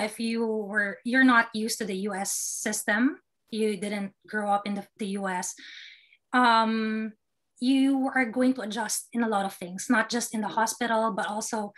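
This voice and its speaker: Higher-pitched young Filipino woman, casual guest. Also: fairly bright tone. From Manila, Philippines. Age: young adult. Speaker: interview guest, newly matched doctor. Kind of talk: casual interview talk.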